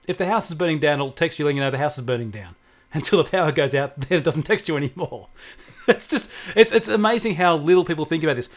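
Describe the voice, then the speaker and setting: Tech co-host, male, slightly high voice, explanatory and anecdotal in casual discussion. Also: animated, quick pace. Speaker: technology expert co-host.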